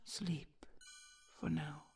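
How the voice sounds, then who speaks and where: Woman doing a gravelly, possessive dragon queen. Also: low-pitched, fairly even intonation, a crowd in the background, exaggerated voice. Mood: soothing. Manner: slow, gravelly, whispered tenderness. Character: possessive, fierce yet tender female dragon queen. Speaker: voice actor. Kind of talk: audio roleplay acting.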